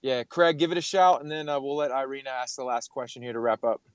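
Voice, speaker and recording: A man hosting a live audio chat, briskly and apologetically moving callers along. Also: medium pitch, fairly even intonation, smooth, no room sound, studio quality. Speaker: host and moderator.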